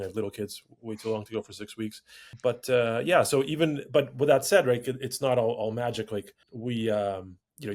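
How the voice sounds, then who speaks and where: A man talks quickly about his business, his sentences tumbling out with restarts and little checks like "right?". He is a guest answering questions, and his pitch sits in an ordinary middle range. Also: a little mellow. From Ukraine. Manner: rapid, rambling, peppers speech with "kind of" and "right?". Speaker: real estate investor guest. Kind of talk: casual interview answers.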